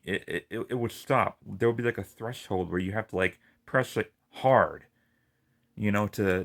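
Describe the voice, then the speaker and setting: Lisping male gamer, rambling frustrated rant, low-ish voice, unhurried delivery. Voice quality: lisp. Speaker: gaming video creator. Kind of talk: casual ranting vlog.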